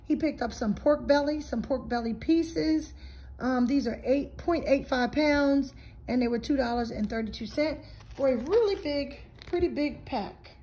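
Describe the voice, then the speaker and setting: High-voiced woman chatting casually to viewers. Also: measured pace, dark tone, poor audio. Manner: folksy, chatty, addressing viewers directly. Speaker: vlogger showing a grocery haul. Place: home kitchen, indoors. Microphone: handheld phone behind the camera.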